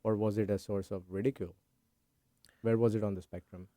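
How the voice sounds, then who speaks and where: A man's voice: fairly low pitch, level tone, fairly quick, warm tone, no room sound, studio quality. A middle-aged man hosting a podcast interview, asking long, thoughtful, winding questions. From India. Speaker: podcast host. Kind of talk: conversational interview.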